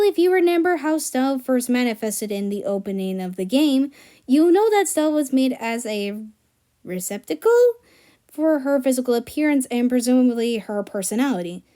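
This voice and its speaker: A woman's voice: fairly high pitch, mellow. A woman narrating a scripted video as a fan writer presenting a personal project.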